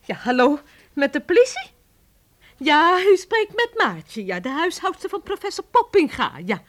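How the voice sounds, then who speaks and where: An actress playing an excitable, scatterbrained housekeeper in a comic audio drama, flustered, exclaiming and stammering. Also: female voice, high-pitched, normal speed. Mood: flustered, excited. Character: excitable, scatterbrained housekeeper, quick to laugh and defend herself.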